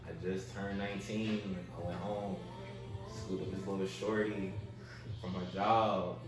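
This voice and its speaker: A man's voice: sits low, flat delivery, unhurried, recorded from a distance. A man of twenty-one in a casual group discussion, speaking candidly with plenty of slang. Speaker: student panelist. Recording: echoey room from afar, music behind.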